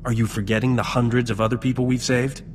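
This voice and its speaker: A man's voice: medium pitch, little pitch movement, quick pace, slight background noise, lightly acted. A man playing a wry, dry but supportive roguish starship captain in a video game. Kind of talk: video game cutscene dialogue.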